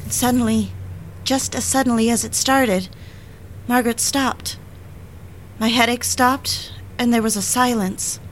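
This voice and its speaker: A woman's voice: on the high side, fairly even intonation, a little slow, lightly acted, rough recording. A woman playing a troubled woman who narrates her slide into madness in a confiding, reflective way. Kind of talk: scripted first-person audio drama narration. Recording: clear background noise, vehicle sounds.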